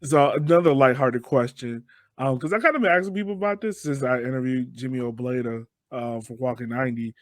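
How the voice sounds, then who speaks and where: A man with a mid-pitched voice hosts a podcast interview. He asks his questions in a loose, conversational way, and the sound is close and dry. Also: a little fast, no room sound.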